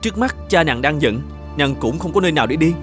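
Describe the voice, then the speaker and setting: An actor playing a kind, humble young peasant, earnest and conciliatory, in fairy-tale dubbing. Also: male voice, a little high, expressive, quick pace, close to an everyday voice. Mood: earnest, reassuring. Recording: music behind the voice.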